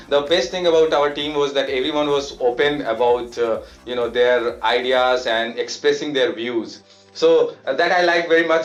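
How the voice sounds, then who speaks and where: Give me a hesitant young man from India telling his team's story in a reverberant room. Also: mid-range voice. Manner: hesitant, many fillers. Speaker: master's student. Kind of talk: interview, team story.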